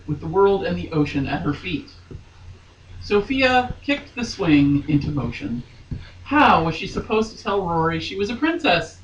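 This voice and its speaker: A roomy, slightly distant recording with faint noise carries a woman reading fiction aloud. She is theatrical and hammy, swinging widely in pitch, and slips between mock drama and jokey, chatty commentary. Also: fairly bright tone, muffled recording. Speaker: podcast co-host reading a novel aloud.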